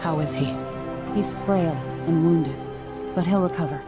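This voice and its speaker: A woman's voice: low-pitched, measured pace, over loud music. A woman playing a determined young empress turned avenger in a video game, terse and restrained. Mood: relieved. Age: young adult. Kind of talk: video game dramatic acting.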